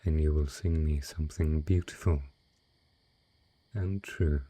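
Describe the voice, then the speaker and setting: A man playing a wealthy, possessive dragon in human guise, speaking in slow, formal, seductive phrases for an intimate romantic audio roleplay. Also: rumbling low, fairly even intonation, mellow, husky, no room sound, full character voice. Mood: businesslike, indulgent.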